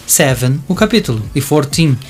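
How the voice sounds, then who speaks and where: A man's voice: medium pitch, big pitch swings, normal speed, soft background music. A Brazilian man narrating a scripted audio drama in a theatrical way, stretching out some phrases.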